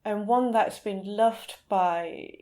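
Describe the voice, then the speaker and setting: A woman's voice: mid-range voice, slow pace, mellow. A woman speaking as a guest in a casual podcast interview, in an informal way. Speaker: podcast guest, literary translator.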